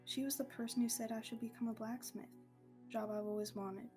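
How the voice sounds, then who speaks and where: A young woman playing a bitter, grieving widowed blacksmith, halting and downcast, in a student radio play. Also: on the high side, steady tone, a little mellow, faint music behind, natural voice. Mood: guilty. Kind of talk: student radio-theatre acting.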